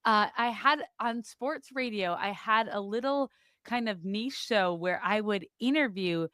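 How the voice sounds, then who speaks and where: A woman's voice: medium pitch, a little slow, sharp tone, clean tone, no echo, studio quality. A woman in her thirties from Wisconsin with a slight Wisconsin accent, telling her story as a podcast guest in a chatty way, with lots of "like" and "um". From Wisconsin, USA. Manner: chatty, frequent "like" and "um" fillers. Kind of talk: candid conversational storytelling. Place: remote studio.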